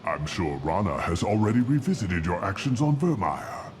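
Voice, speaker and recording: Deep-voiced, pompous alien warlord. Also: male voice, big pitch swings, normal speed. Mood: impatient, scornful. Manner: pompous, theatrical, disdainful. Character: proud, contemptuous old alien warlord scientist. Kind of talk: video game voice acting. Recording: loud ambient noise behind.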